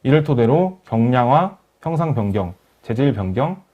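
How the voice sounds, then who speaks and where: A man narrating a software tutorial as a webinar instructor, explaining each step in a polite, formal way. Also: mid-range voice, sing-song, normal speed. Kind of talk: software tutorial narration over screen demo. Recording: dull lo-fi voice recording.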